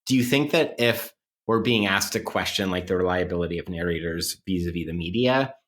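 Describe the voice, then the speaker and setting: Very low-voiced man, wry and bookish. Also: a little fast, a bit bright. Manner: wry, bookish vocabulary mixed with casual asides. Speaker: podcast co-host. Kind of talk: casual academic podcast discussion.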